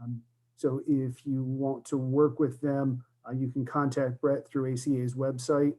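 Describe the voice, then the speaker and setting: Guy presenting on a video call, mellow low-key tone, lots of ums. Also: little pitch movement, a little slow, low-quality recording. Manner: explanatory, many fillers. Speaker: conference presenter. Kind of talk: virtual conference talk. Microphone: computer mic on a video call.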